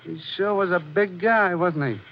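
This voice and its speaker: Police sergeant, clipped and reassuring, notably high male voice, muffled old radio drama sound. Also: normal speed, slight background noise. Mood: relieved, reassuring. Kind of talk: 1940s radio drama acting. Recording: muffled 1940s radio recording.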